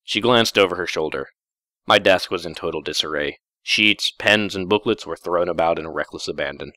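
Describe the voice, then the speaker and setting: Low-voiced man reading fiction, measured. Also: sharp tone, no room sound, studio quality. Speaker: story narrator. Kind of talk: dramatic first-person fiction reading.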